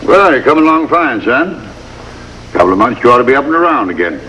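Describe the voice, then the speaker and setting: An actor playing an older, gruff but kindly country doctor in a 1950s television drama, plain-spoken and wry. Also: male voice, medium pitch, sing-song, fast talker, sharp tone. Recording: noisy vintage 1950s television soundtrack.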